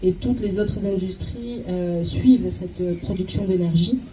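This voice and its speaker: A woman working as a consecutive interpreter at a talk, halting and searching for words as she translates. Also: fairly low pitch, warm tone, faint music behind, low-quality recording.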